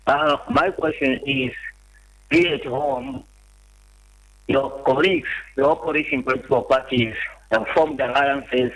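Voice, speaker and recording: An older man, his voice at an ordinary middle pitch, calls in with a question. He speaks politely and formally, pausing and hesitating as he frames it. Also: expressive, vocal fry, a bit bright, poor audio. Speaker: caller. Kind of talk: phone-in question.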